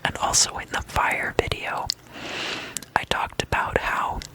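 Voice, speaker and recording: An ASMR host whispering a guided meditation lesson in a soft, breathy, unvoiced voice, unhurried and often repeating words softly. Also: rough recording.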